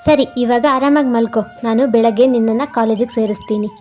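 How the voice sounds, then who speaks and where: Woman as a caring young husband, high voice. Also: steady tone, brisk, music in the background, natural voice. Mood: affectionate, reassuring. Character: caring, reasonable young husband, elder brother. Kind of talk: animated moral-story cartoon voicing.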